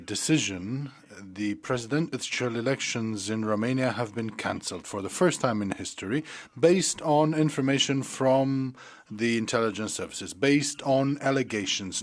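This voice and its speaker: Male interpreter, emphatic political speech. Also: mid-range voice.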